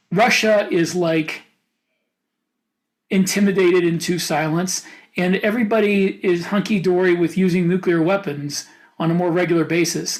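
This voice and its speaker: A man co-hosting a casual podcast discussion, talking in a halting way as he thinks aloud. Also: sits high. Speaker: podcast co-host and author.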